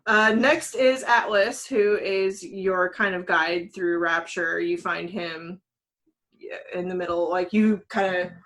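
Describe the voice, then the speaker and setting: A woman's voice: fairly low pitch, steady tone, slow, a bit bright. A woman co-hosting a podcast, talking casually as she presents her picks. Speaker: podcast host.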